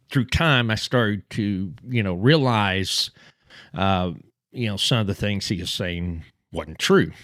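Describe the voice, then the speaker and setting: Texan man telling a story, fairly low voice. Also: no room sound, studio quality. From Texas. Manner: halting, many fillers and restarts. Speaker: mob history channel host. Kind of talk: unscripted personal storytelling.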